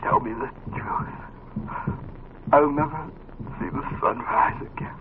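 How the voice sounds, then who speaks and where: An actor playing a frightened, dying hospital patient in a 1940s radio drama, breathy, half-whispered and halting. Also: male voice, lightly acted. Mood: fearful, pleading. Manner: halting, fearful. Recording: noisy, tinny 1940s radio recording.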